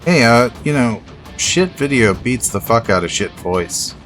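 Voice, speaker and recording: A man's voice: medium pitch, very animated, a little slow, a bit bright, soft background music. A man in casual livestream chat, laid-back and matter-of-fact, free with swear words.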